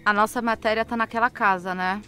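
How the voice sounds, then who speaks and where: A woman's voice: mid-range voice, fairly even intonation, fairly quick, pushed and bright, faint music behind, lightly acted. A Brazilian woman acting in an audio drama as a curious, blunt journalist with an informal way of talking.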